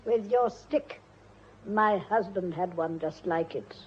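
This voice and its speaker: A woman playing a shrewd, accusing wife in a radio drama, speaking in a deliberate, probing way. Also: on the low side, husky, highs cut off. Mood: quietly accusing. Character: shrewd, accusing married woman confronting a killer.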